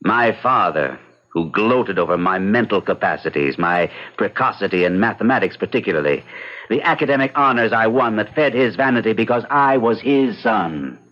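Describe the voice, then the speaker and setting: A man's voice: on the low side, expressive, normal speed, natural voice. A man playing a detached, pragmatic bachelor of thirty, articulate and dryly amused, in radio drama style. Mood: coolly bitter. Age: 30s. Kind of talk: old-time radio drama acting. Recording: dull lo-fi vintage radio recording.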